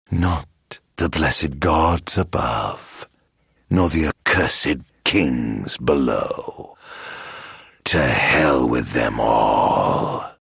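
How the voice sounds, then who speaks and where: Raspy, vengeful immortal villain. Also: male voice, extremely low pitch, fairly bright tone, no room sound, studio quality, full character voice. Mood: dark, vengeful. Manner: grandiose, archaic wording, slow dramatic delivery. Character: vengeful, tormented immortal man turned self-proclaimed monster. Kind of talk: theatrical video game voice acting.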